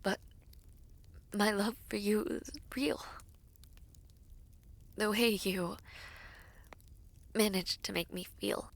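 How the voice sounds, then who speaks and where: Slow-spoken, tender young princess played by a woman. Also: medium pitch, steady tone, creaky. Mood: tender, earnest. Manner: formal, measured, hesitant phrasing. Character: devoted royal princess villainess, tender and formal. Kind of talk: intimate audio roleplay acting.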